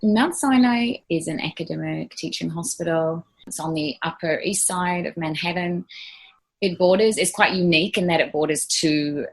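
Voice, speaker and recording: A low, husky-voiced woman with a New Zealand accent talks through her answers in an interview. She is thoughtful and earnest, pausing on fillers and restarting her sentences as she goes. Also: low-quality recording. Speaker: ICU nurse guest.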